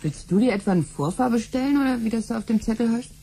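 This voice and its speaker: A woman's voice: fairly high pitch, fast talker, gravelly, dark tone, breathy edge. A woman acting a sceptical, placating wife and mother in a 1970s German radio drama, with a dry, colloquial and reluctant delivery. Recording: slightly noisy 1970s radio recording with hum.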